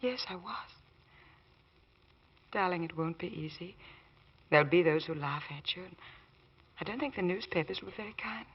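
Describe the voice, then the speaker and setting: An actress playing a loyal, tender wife, earnest and deliberate, in an old radio drama. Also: female voice, lowish voice, breathy edge. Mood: tender, proud. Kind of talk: 1940s radio drama acting. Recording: dull old radio recording, music behind.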